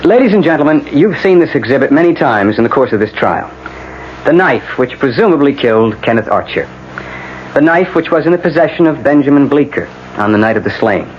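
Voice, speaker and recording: An actor playing an insistent defense attorney in a radio drama, speaking in a dramatic, rhetorical, emphatic way. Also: male voice, mid-range voice, expressive, fairly quick, close to an everyday voice. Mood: grave, theatrical. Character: theatrical, insistent male defense attorney addressing a court. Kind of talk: 1970s-80s radio drama acting. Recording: dull, hissy old radio broadcast.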